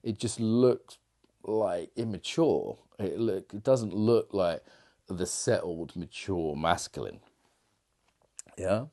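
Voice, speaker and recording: A man's voice: deep voice, lively intonation, slow pace, dark tone. A middle-aged man coaching on confidence in a rambling, reflective monologue full of fillers and restarts. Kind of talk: casual monologue to camera.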